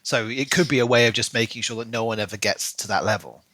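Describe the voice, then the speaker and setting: A man's voice: mid-range voice, quick pace, a bit bright, no echo. A man co-hosting a podcast, chatting casually and giving his opinions about books, sometimes acting out imagined quotes. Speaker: podcast co-host. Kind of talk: casual book discussion chat.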